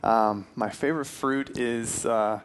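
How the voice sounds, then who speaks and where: Young guest preacher, mid-pitched and earnest, mic in a church hall with faint hiss. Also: male voice, steady tone, slow. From Puerto Rico. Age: 20s. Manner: friendly, earnest, some restarts. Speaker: guest speaker. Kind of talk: church talk. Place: church hall with stone fireplace. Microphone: handheld mic, then headset.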